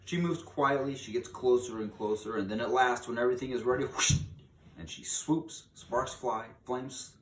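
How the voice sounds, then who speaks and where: A man's voice: mid-range voice, normal speed. A man reading a children's book aloud in a theatrical, emphatic manner, drawing out key words for drama. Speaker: read-aloud storyteller. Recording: distant mic, echoey room, background noise.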